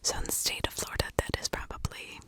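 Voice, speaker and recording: A woman whispering in an informal ASMR style, telling stories and giving her own opinions in a breathy, conversational way. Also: quick pace, pushed and bright. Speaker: ASMR true crime storyteller, channel host.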